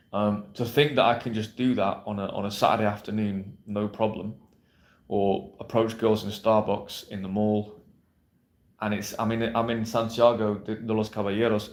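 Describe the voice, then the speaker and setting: A man's voice: on the low side, darkish tone. A man coaching viewers on dating in a blunt, brash, emphatic way, swearing freely and checking in with "yeah?". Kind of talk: direct-to-camera coaching monologue. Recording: roomy echo.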